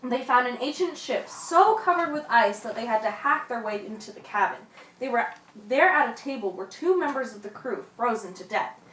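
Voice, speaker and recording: A woman's voice: on the high side, expressive, normal speed, a bit bright, distant mic, a little hiss or hum. A woman playing an old seafarer who tells a dramatic yarn in an amateur radio play. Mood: suspenseful. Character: old seafarer spinning yarns to young lads. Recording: echoey room, off mic.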